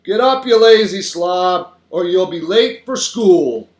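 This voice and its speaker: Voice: mid-range voice, steady tone, fast talker, pitched above the performer's own voice, natural voice. A performer voicing a self-important, easily flustered father in a children's story, blustering and pompous. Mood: scolding. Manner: pompous, stammering when flustered. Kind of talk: children's storybook character acting. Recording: roomy, echoing sound.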